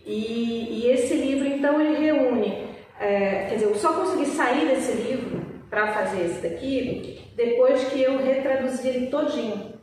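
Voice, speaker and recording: A Brazilian woman with a lightly mixed Portuguese accent, a poet talking as an interview guest, reflective and full of pauses and restarts. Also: high-pitched, darkish tone, slight background noise. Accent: slightly mixed Brazilian Portuguese, a bit of Minas Gerais. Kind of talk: thoughtful conversational interview. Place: library event room. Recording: echoey hall, speaker far from mic.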